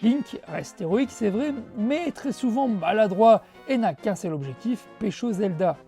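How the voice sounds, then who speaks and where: Mellow Frenchwoman presenting over background music. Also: medium pitch, animated, measured pace. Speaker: host of a retro video game show. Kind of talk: scripted review narration with casual asides.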